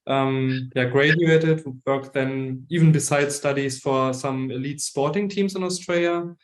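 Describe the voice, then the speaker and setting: A man's voice: mid-range voice, steady tone, unhurried. A young man from Germany with a German accent, speaking casually and conversationally as a guest expert in an online session. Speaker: guest expert, recruitment consultant. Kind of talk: casual self-introduction to an online audience. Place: online video meeting.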